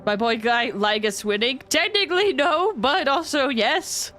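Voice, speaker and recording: A woman's voice: sits high, expressive, unhurried, a bit bright, a little hiss or hum. A woman livestreamer chatting casually and expressively as she reacts to a game, often exclaiming. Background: game music.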